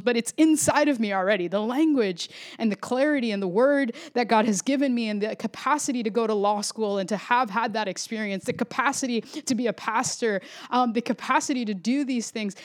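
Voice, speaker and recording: Woman, pastor, sermon, earnest and reflective, slightly high voice, restarts her phrases. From Florida, US.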